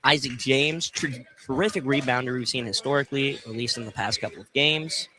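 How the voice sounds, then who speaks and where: Bright-voiced man calling basketball live. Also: mid-range voice, fairly quick, a little hiss or hum, low-quality recording. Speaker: play-by-play radio announcer.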